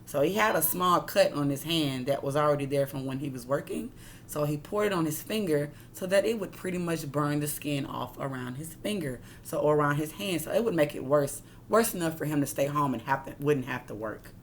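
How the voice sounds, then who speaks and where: A woman's voice: low voice, animated, a little fast, slight background noise. A woman reading a picture book aloud like a teacher, expressively, with casual asides that explain and question her listeners.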